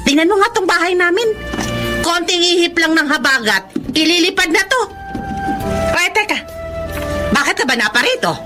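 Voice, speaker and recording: A woman's voice: extremely high pitch, lively intonation, moderate pace, pauses often, music in the background. A bitter, blunt Filipino mother. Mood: scornful, exasperated. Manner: blunt, scornful, rhetorical questioning. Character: hard, unsentimental poor mother of ten, defensive and bitter. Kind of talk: Filipino radio drama acting.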